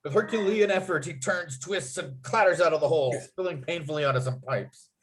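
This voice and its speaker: A man with a slightly high voice reads screenplay action aloud at a table read. His delivery is vivid and punchy. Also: a little fast. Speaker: writer narrating a table read.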